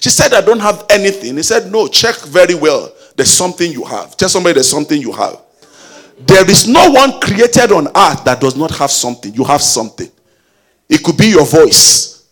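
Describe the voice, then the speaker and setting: Husky, lively male preacher. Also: high voice. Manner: call-and-response exhortation, rising to a sung shout. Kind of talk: sermon.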